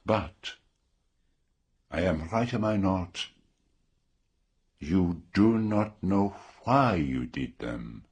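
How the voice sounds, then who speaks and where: A man playing a grave, methodical detective in a radio drama, questioning formally and deliberately. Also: low voice, expressive, a little mellow, husky, exaggerated voice. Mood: probing, calm. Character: methodical, probing older male detective, calm and grave.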